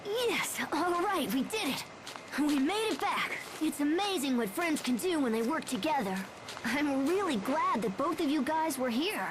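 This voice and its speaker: A woman's voice: sits high, big pitch swings, a little slow, noisy background. A woman playing an eager, friendly young boy in an English-dubbed anime game. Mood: upbeat.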